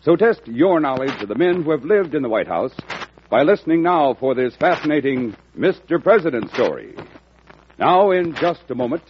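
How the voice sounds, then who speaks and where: A man's voice: fairly high pitch, very animated, normal speed. A man giving a rousing, scripted introduction as host of an old radio program. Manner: earnest, rousing delivery. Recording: dull, noisy old radio recording.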